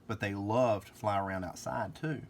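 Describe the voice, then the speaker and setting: A man's voice: fairly low pitch, normal speed, slight background noise, lightly acted. A man voicing a cheerful, thoughtful flower-friend girl in a children's storybook. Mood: cheerful, explaining.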